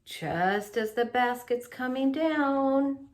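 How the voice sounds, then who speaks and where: A woman's voice: sits high, takes it slowly. Woman reading a picture book aloud expressively. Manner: animated, with asides to young listeners.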